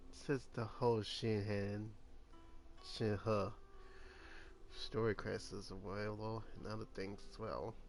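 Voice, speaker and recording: A man's voice: lowish voice, gravelly. A man streaming a game, chatting casually and rambling through his intro with fillers.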